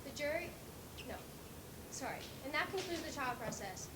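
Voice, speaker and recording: Girl playing stern flustered judge, high voice, formal commands, amateur stage play, noisy room. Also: animated, moderate pace. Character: stern, flustered fairy-tale judge presiding over a trial. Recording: roomy noisy stage recording.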